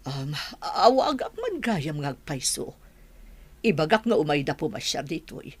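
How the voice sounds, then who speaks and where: Husky, wistful mother acted by a woman. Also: sits low, sing-song, a little slow, exaggerated voice. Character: wistful mother and madam missing her married child. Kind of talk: Ilocano comedy-drama radio acting.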